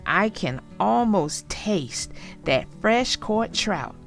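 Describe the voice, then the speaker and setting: A woman's voice: medium pitch, animated, extremely slow, gravelly, soft background music, full character voice. A woman voicing an eager, outspoken young boy bear cub from a storybook, with childlike directness. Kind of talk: storybook character voice.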